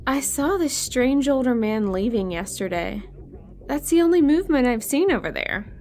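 High-voiced, anxious young wife played by a woman. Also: expressive, normal speed, darkish tone. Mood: uneasy. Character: anxious, pregnant young wife, wary of trouble. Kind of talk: horror audio drama acting.

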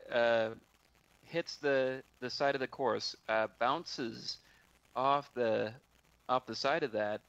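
A man casually telling a story on a video call, halting and often repeating his words. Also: medium pitch, fairly bright tone, dry recording, dull audio.

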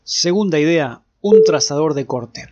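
A man reading a scripted explainer as a video host, in a presentational, clearly enunciated way. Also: on the high side, animated, measured pace, dull audio, noisy background.

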